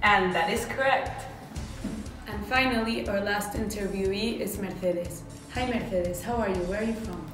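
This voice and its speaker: A woman's voice: mid-range voice, normal speed. A woman playing an upbeat reporter in a scripted mock news interview. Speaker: student playing a survey reporter. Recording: echoey hall from far off, music behind.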